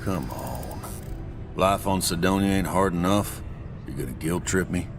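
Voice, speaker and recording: An actor playing a world-weary, wary Martian frontier bartender in a video game, plainspoken and colloquial. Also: male voice, low voice, moderate pace, music in the background. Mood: defensive, exasperated. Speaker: bar owner character. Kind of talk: video game cutscene acting.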